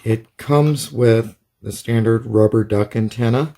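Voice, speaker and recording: A man's voice: medium pitch, measured pace, gravelly, warm tone. A man giving a casual hands-on product demonstration in a plain, methodical, step-by-step way. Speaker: product reviewer.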